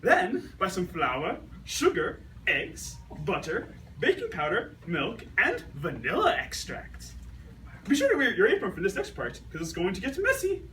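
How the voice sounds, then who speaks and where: A woman's voice: lowish voice, wildly swooping pitch, a little slow, fairly bright tone, full character voice. Woman as swooping, genteel 1920s cooking hostess. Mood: cheerful. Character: genteel, cheerful 1920s housewife hostess of a radio cooking program. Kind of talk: amateur 1920s radio cooking show. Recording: slightly noisy, distant amateur recording.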